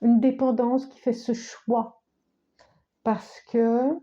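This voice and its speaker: A woman with a cold giving a tarot reading, speaking slowly with many restarts and repetitions, sometimes dropping to a near-whisper. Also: on the high side, level tone, warm tone, highs cut off. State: has a cold, coughing. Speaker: tarot card reader.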